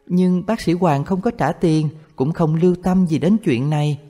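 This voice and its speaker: A man's voice: on the high side, normal speed, warm tone, pitched above the performer's own voice, close to an everyday voice. A man voicing his young schoolgirl daughter, who earnestly lectures her father. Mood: questioning. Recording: long hall reverb, faint music.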